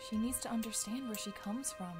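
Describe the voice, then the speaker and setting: A woman's voice: on the high side, fairly even intonation, fairly quick, close to an everyday voice. A woman acting in an audio drama as a troubled, guilt-ridden mother pleading with her partner. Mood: urgent, insistent. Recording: music behind the dialogue.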